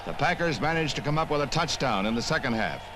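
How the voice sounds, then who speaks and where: A man's voice: medium pitch, lively intonation, fast talker, very noisy. An American man reading scripted 1960s newsreel narration in a punchy, wry manner, on an old film soundtrack. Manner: punchy, wry, punning delivery. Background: music.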